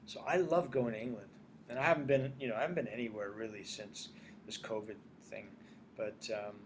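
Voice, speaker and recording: An older man raised in Australia, a screenwriter and producer chatting casually as an interview guest, animated and repeating his phrases. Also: on the low side, brisk, a little hiss or hum.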